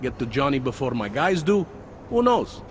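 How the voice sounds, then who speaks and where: An actor voicing a world-weary, pragmatic police detective in a video game, with a wry, insinuating manner. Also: male voice, medium pitch, big pitch swings, normal speed, slight background noise, close to an everyday voice. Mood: confiding, sly. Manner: wry, insinuating, tags questions with "eh" and "huh". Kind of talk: video game cutscene dialogue.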